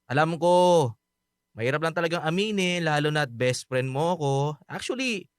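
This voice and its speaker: A man's voice: on the high side, lively intonation, moderate pace, no echo, clean studio sound, natural voice. A young man with a Filipino accent acting an evasive, teasing teenage best friend in a radio drama. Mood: teasing, smug.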